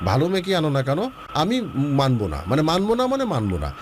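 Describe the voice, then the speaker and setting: A man's voice: fairly high pitch, quick pace, slight background noise. A man hosting a relationship advice show, talking with a young caller and mixing in English words. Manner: lively, advising, mixes in English words.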